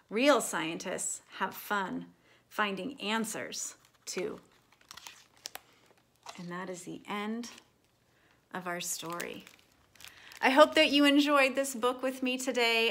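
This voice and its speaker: A woman reading a children's picture book aloud as a storyteller, with expressive, deliberate phrasing for young listeners. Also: mid-range voice.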